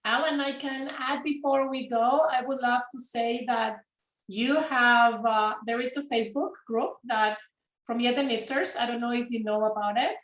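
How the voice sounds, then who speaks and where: High-voiced woman, Spanish accent, echoey room. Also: moderate pace. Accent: Spanish-speaking. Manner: lively and enthusiastic. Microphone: video call.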